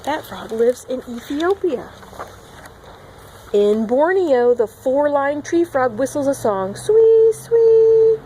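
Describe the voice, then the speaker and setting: Female teacher for a children's read-along, higher-pitched and expressive, amateur recording with some background noise. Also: slow, low-quality recording. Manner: expressive, acting out animal sound words. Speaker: children's teacher reading aloud. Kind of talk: picture-book read-along with asides to young children.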